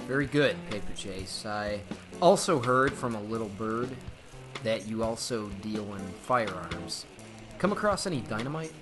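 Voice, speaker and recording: Male actor as a smooth, probing 1920s gentleman. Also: fairly low pitch, animated, moderate pace, close to an everyday voice. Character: polite, probing businessman customer of a bootlegger, adult man. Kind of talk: old time radio drama acting. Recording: music bed behind the dialogue.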